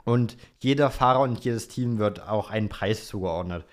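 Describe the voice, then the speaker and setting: A young man with a fairly low voice speaks as a podcast co-host. He chats casually and colloquially, with an easygoing manner, as he takes listener questions. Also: normal speed.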